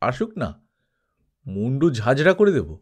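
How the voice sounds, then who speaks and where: A man's voice: mid-range voice, huge pitch swings, a little slow. A man voicing a grumbling, cocky, mocking male adventurer in a dramatised audiobook.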